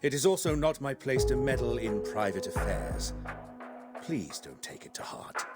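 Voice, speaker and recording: Male actor as courteous game butler, lively intonation, formal and discreet, loud music behind. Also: mid-range voice, close to an everyday voice. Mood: hesitant, discreet. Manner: formal, measured, deferential. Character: courteous, discreet butler serving his master. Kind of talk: scripted video game voice acting.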